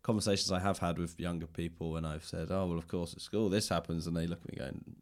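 A man with a low-pitched voice hosts a casual interview. He talks reflectively and at length, in a loose conversational style. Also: fairly even intonation, quick pace. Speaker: podcast host, interviewer.

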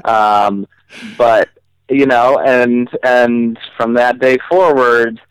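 A man with a mid-pitched voice tells comic personal stories as an interview guest. He chats casually in a wry, mock-serious way, recorded dry with little room sound. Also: steady tone, very slow, smooth, no echo, rough recording. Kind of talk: casual humorous storytelling.

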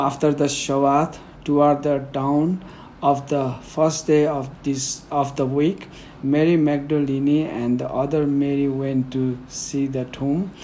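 Soft-spoken Nepali-accented man. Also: medium pitch, a little hiss or hum, rough recording. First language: Newari. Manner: soft-spoken, hesitant with fillers. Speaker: guest reader. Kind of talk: conversational interview, then Bible reading.

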